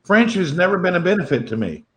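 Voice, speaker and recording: A man's voice: sits high, sing-song, fast talker. A man chatting casually on a livestream, joking and exasperated in his asides. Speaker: livestream chat participant. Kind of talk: casual chat.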